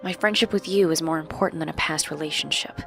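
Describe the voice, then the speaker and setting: A woman's voice: low voice, fast talker, creaky, fairly bright tone, soft background music. A woman acting a stubborn, guarded young woman in an audio drama, with a dry, exasperated manner. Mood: aloof.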